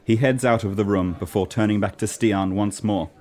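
A man's voice: lowish voice, fairly even intonation, normal speed, a little mellow. A man reading scripted stage directions as the narrator of a radio play. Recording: clear background noise.

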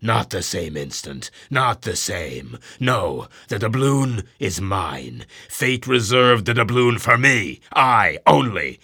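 A man voicing an obsessed, commanding old sea captain who shouts orders across the deck. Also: lowish voice, big pitch swings, slow pace, few pauses, slightly rough, full character voice. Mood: possessive.

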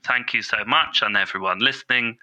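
A man's voice: medium pitch, brisk, bright, forceful tone. A man playing an earnest, bumbling caller on a comedy podcast, fussy and overly polite. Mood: apologetic. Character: earnest bumbling male bushel-farmer caller.

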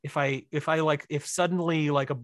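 A man's voice: on the high side, steady tone, dry recording. Wry man in a dry, close recording. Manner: self-deprecating, wry, halting as he explains. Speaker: podcast guest, writer and director. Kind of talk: casual conversational interview.

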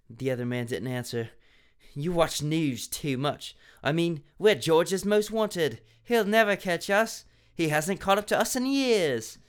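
A young man reads a cheesy children's mystery aloud with mock drama, throwing in sarcastic asides. His voice sits a bit high for a man and comes through smooth and clear. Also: fairly bright tone. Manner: sarcastic, laughing at the text. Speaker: reader of a children's mystery book.

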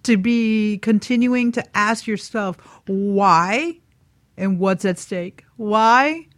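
Woman, average pitch, informal, conversational talk show chat, dry sound. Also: takes it slowly, no room sound. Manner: informal, peppered with "like" and "man". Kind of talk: casual chat.

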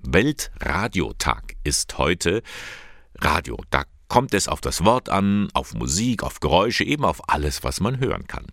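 Very deep-voiced male radio presenter. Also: big pitch swings, a little slow, fairly bright tone, no room sound, studio quality. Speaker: radio host.

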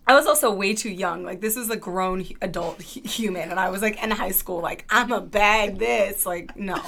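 A woman's voice: medium pitch, moderate pace, gravelly, fairly bright tone. A woman from Los Angeles and San Diego with a Southern California accent, telling stories as a podcast guest in a playful, slangy way. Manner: playful, slangy, swearing, acts out quotes. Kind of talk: casual storytelling chat.

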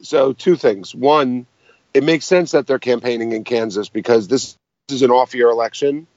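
A hoarse man appearing as a guest, explaining his views in an analytical way. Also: mid-range voice, moderate pace, a little mellow, dry recording, highs cut off. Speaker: podcast guest, political commentator. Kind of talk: casual interview chat.

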